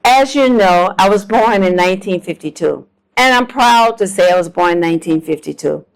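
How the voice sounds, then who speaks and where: A woman's voice: on the low side, lively intonation, normal speed, vocal fry, clean tone, poor audio. A woman in her seventies from South Florida, telling her life story to an audience in a homespun way, with ums and self-deprecating jokes. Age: 70s. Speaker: guest speaker. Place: lecture room with a live audience.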